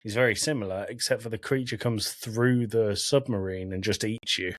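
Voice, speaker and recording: A man's voice: lowish voice, fairly even intonation, moderate pace, no room sound, clean studio sound. A man hosting a podcast, talking casually about films in a conversational style. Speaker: podcast host. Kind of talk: casual film discussion.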